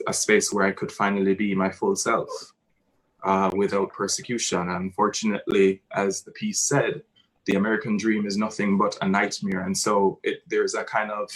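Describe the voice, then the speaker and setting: Earnest Jamaican man, low even voice. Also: poor audio. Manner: earnest, halting, emotional. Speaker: theatre director. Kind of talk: reflective artist talk.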